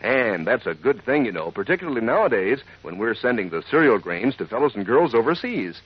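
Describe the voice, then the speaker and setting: A man announcing as a chummy, enthusiastic sponsor pitchman, in folksy 1940s children's radio advertising style. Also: big pitch swings, brisk, slightly rough. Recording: dull old radio recording.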